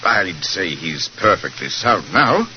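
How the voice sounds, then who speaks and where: An actor playing a tormented, imperious doctor, abrupt and intense, in theatrical 1940s radio drama style. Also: male voice, deep voice, very animated, takes it slowly, exaggerated voice. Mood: probing, wary. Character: tormented, imperious doctor and brooding amateur composer. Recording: noisy, dull old radio recording.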